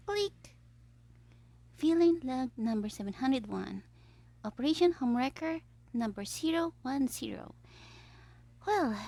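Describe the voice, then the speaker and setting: A woman's voice: on the high side, creaky, warm tone, full character voice. A woman playing a smug, theatrical young supervillain who schemes playfully in an audio roleplay diary. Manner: theatrical, playful, self-satisfied, drifting into conspiratorial whispers.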